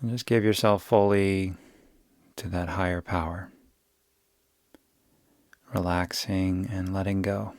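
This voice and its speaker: Male meditation guide: gravelly, soothing, unhurried delivery, very dry sound. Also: deep voice, flat delivery, dry recording. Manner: soothing, unhurried, gentle instruction. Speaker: yoga nidra guide.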